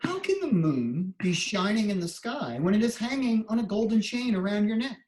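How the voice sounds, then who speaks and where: A woman playing a gentle, clever, playful court jester in a theatrical storybook reading. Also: medium pitch, big pitch swings, moderate pace, reverberant, lightly acted. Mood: gentle wonder.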